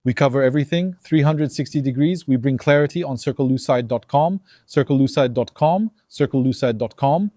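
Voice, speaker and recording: A man's voice: medium pitch, moderate pace, few pauses, no room sound. A man from Algeria giving an emphatic opinion monologue to his viewers, acting out dialogues. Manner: animated, emphatic, acts out dialogues. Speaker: commentator.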